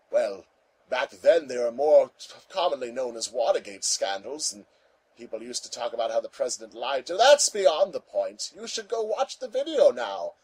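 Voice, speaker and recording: A man's voice: medium pitch, a little mellow, slightly rough, low-quality recording. A man voicing a rambling, folksy old apartment manager who nags and gives elderly advice in a comic monologue. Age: older.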